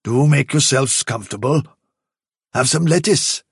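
A man's voice: mid-range voice, animated, creaky, slightly rough, no echo, clean studio sound, voice pushed up, heavily acted. Man acting a condescending elderly male rabbit chief. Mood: genial, vague. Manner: bumbling, over-courteous, drawn-out patronising phrasing.

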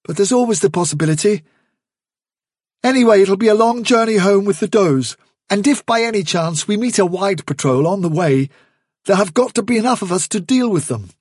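A man voicing a young male rabbit leader who reasons calmly and persuasively with the others. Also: sits high, brisk, breathy edge, no echo, studio quality, pitched above the performer's own voice. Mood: earnest. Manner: earnest, reasoning, steady.

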